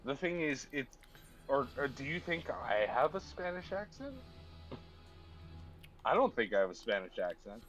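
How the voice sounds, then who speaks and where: A man's voice: mid-range voice, very animated, unhurried, long pauses, a bit bright, soft background music. Rambling American man on a dull line. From southern United States. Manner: rambling, many fillers and restarts. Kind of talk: casual chat.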